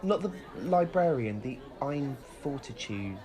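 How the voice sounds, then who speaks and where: A woman's voice: a bass voice, lively intonation, measured pace, over a noisy crowd. A woman acting in an audio play as an intense, suspicious young adventurer searching for answers. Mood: puzzled, urgent.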